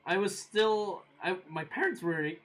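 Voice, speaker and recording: A man's voice: sits high, a little slow, faint music behind. A man in his early twenties hosting a stream, chatting casually and answering viewer comments with fillers and frequent laughs. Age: 20s. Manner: relaxed, chatty, laughs often, many fillers. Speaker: livestream host.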